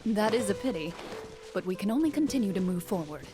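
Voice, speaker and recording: A woman playing a calm storyteller who narrates a fantasy game quest in a composed, storybook manner. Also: medium pitch, a little fast, music in the background, close to an everyday voice. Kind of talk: scripted narration.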